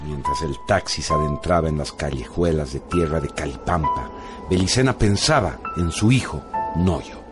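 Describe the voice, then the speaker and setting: A man giving solemn, measured scripted narration for a radio drama. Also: very deep voice, expressive, loud music behind the voice.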